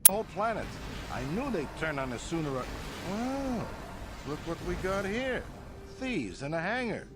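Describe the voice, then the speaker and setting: An actor playing a smug, imperious crime boss in a video game, with a pompous, suavely threatening manner. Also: male voice, sits high, big pitch swings, normal speed, over loud music. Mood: alarmed, angry. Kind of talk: dramatic video game acting.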